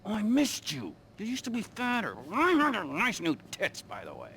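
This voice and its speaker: Unhinged, slow-drawling gravelly intruder. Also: male voice, high-pitched, huge pitch swings, a bit bright, a little hiss or hum, heavily acted. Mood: menacing, mock-friendly. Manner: halting, insinuating. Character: unhinged, awkwardly menacing man intruding on old acquaintances. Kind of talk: video game cutscene acting.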